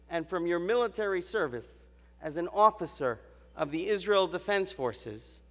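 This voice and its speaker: A man, a university president, giving a formal ceremonial address at a podium, measured and stately, with deliberate pauses. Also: high voice, a bit bright. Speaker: university president presenting an award. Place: large hotel ballroom. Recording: reverberant banquet hall.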